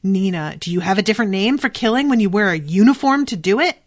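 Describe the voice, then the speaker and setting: A woman's voice: medium pitch, expressive, quick pace, lightly acted. Defiant young magic-user from a novel, read by a woman: accusatory, impassioned, scornful. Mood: indignant, accusing. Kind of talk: audiobook-style novel reading.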